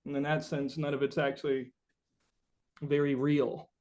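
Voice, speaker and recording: A man's voice: a little high, level tone, normal speed, darkish tone. A man being interviewed as a musician, talking reflectively and philosophically, circling back and repeating his phrases as he goes. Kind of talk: reflective, rambling interview conversation.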